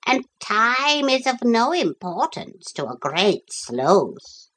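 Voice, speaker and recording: A woman's voice: fairly high pitch, sing-song, takes it slowly, a bit bright, breathy edge, voice pushed up, heavily acted. A woman voicing a prim, worldly-wise talking parrot in a children's story, with formal, precise pronouncements. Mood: encouraging.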